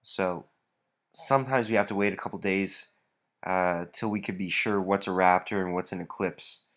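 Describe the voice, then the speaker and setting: A man's voice: sits low, fairly even intonation, normal speed, fairly bright tone. A man with a stuffy nose, casually walking through his reptile breeding rack with many fillers and rambling asides. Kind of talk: casual walkthrough vlog.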